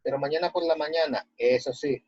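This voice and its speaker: A male teacher with a Latin American Spanish accent explains and reads aloud in a didactic way. His voice is husky and sits at a middling pitch. The recording is muffled and very dry, with little room sound. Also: a little fast, darkish tone, dry recording. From Ecuador. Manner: didactic, checking with rhetorical questions. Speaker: high school language and literature teacher. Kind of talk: online lesson, explaining and reading a text aloud.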